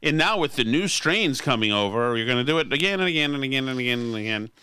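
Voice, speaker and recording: A man's voice: mid-range voice, lively intonation, quick pace, bright, forceful tone, clear, resonant tone, no echo. An American man hosting a podcast in casual political chat, with a sardonic manner. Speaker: podcast host.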